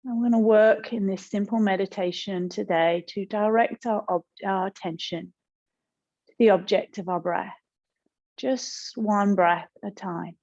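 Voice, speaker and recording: A woman meditation teacher speaking in a gentle, calm, unhurried way with pauses as she guides a practice. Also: medium pitch, dull audio.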